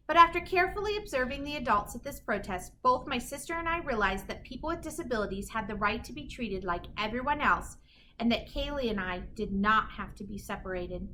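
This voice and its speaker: A woman with a high-pitched, bright voice reads a children's story aloud. Her delivery is expressive and deliberate, stressing key words for young listeners. Faint noise sits behind her voice. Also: rough recording. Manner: animated, emphatic, deliberate storytelling phrasing. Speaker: children's story reader, teacher. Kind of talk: expressive read-aloud of a children's picture book.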